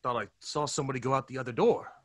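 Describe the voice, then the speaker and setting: A man playing a breezy, slangy, excitable pal in a 1930s-style old time radio drama. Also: mid-range voice, rapid-fire, dry recording, clean studio sound, natural voice. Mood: breezy, puzzled. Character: excitable male pal growing alarmed, breezy 1930s fellow.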